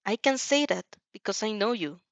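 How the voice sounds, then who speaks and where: A woman's voice: mid-range voice, animated, normal speed, dry recording, natural voice. A woman voicing a caring, determined young heroine student in an earnest, emotional audio story.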